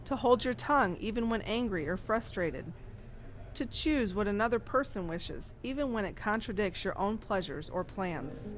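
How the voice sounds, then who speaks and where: Earnest, deliberate teaching nun played by woman. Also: medium pitch, lively intonation, a little hiss or hum, natural voice. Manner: instructive, emphatic, deliberate. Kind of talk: audio drama storytelling.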